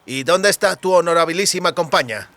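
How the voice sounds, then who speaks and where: A man's voice: fairly high pitch, expressive, fast talker, fairly bright tone, no echo, faint music behind. A man voicing an educated young man with a dry, sarcastic, slightly superior manner in an audiobook. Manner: dry, aloof.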